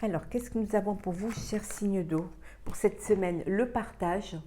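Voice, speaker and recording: A woman's voice: mid-range voice, measured pace, warm tone, a little hiss or hum. A woman with a cold giving a weekly card reading for the water signs, talking in a hesitant way with many fillers and repeated words. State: has a cold. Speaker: tarot reader.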